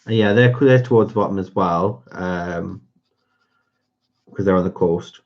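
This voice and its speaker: A man with a North East England accent chats at a slow, unhurried pace as he hosts a colouring stream. His voice is fairly low, and he rambles and hesitates with plenty of fillers. The recording sounds dull and lo-fi. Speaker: host. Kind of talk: casual chat during a colouring livestream.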